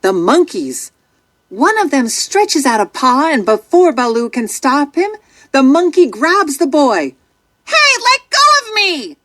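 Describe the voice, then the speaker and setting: A woman narrating a children's storybook from a script in an expressive, dramatic storytelling manner. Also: sits high, a little slow, dry recording, studio quality.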